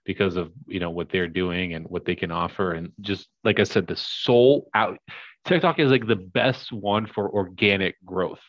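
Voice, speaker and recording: Deep-voiced young man rambling casually. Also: moderate pace, no echo, lo-fi sound. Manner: rambling, filler-heavy, upbeat. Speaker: podcast guest, crypto investor. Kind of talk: casual podcast chat.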